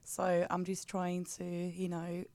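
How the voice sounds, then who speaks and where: A woman's voice: on the low side, monotone, slow pace, creaky, warm tone, no echo. A young woman from England with a Birmingham accent, Polish by birth, a nervous first-time radio guest. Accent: Birmingham English. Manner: nervous, hesitant. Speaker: guest co-host, aspiring music journalist. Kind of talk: casual radio chat. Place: radio studio.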